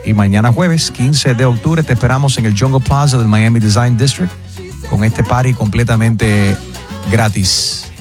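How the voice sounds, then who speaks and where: A man hosting a radio show, reading event promos quickly and teasing callers and guests. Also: mid-range voice, over music. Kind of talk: lively radio chat.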